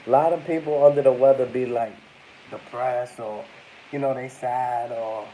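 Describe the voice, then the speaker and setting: A man's voice: medium pitch, steady tone, moderate pace, warm tone, slight background noise, low-quality recording. A congested young man vlogging casually, rambling and talking to his viewers as y'all. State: congested, under the weather. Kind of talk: casual talk to camera.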